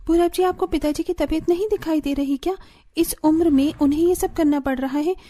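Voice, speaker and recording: A woman's voice: sits high, steady tone, a little fast, warm tone, close to an everyday voice. A woman voicing an earnest, dutiful young daughter-in-law, caring but firm, in a cartoon story. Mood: reproachful. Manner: earnest, pleading. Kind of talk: Hindi cartoon story voice acting.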